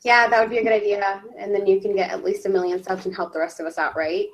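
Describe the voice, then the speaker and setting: A woman's voice: medium pitch, flat delivery, quick pace, low-quality recording. A woman who is a native Spanish speaker, hosting a casual interview in an informal, agreeable way. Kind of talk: casual online interview chat.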